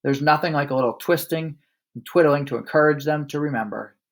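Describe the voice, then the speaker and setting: Loud barking bossy headmistress, scornful and sneering , a man doing her voice. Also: on the high side, brisk, close to an everyday voice. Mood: smug lecturing. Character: bullying loud female headmistress.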